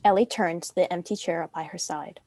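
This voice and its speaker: A woman's voice: lowish voice, fairly quick, a little mellow, no room sound. A woman reading stage directions as scripted narration for a dramatic script reading.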